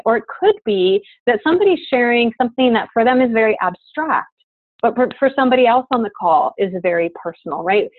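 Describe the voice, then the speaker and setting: A woman's voice: medium pitch, no echo. A woman facilitating an online course on a video call, earnest and full of um and uh. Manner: earnest, lots of fillers. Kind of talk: leading an online class call. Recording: dry, phone-like call audio.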